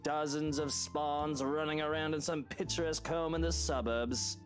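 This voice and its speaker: An actor voicing a scornful, sardonic married man in a visual novel, with a British accent and a low, rumbling, mocking delivery. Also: fairly even intonation, moderate pace, close to an everyday voice. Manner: sarcastic, mocking, theatrical. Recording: game music behind the voice.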